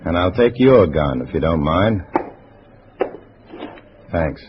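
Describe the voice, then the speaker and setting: Low-voiced hard-boiled undercover agent. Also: male voice, animated, takes it slowly, noisy background. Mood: tough, assertive. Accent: American. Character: cool, tough undercover agent posing as a communist. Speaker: lead actor and narrator. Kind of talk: 1950s spy radio drama acting. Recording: dull old radio recording with music.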